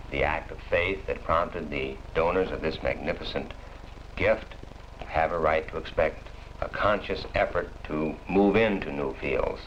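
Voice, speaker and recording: A man giving a formal prepared address as a university program director, in deliberate phrases and long sentences. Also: low voice, a bit bright. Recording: muffled, noisy 1961 film soundtrack.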